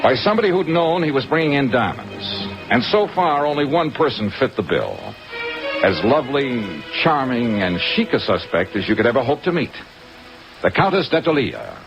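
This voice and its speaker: An actor playing a sardonic, hard-boiled American investigator in an old-time radio detective drama, clipped and flippant. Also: male voice, mid-range voice, big pitch swings, normal speed, music in the background. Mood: brooding narration. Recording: muffled hissy old radio, rain over it.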